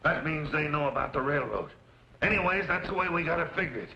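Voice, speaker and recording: An actor playing a ruthless, domineering land baron in an old-time radio western, with a gravelly, forceful voice. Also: male voice, on the high side, fast talker. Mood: scheming, urgent. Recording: dull, noisy old radio recording.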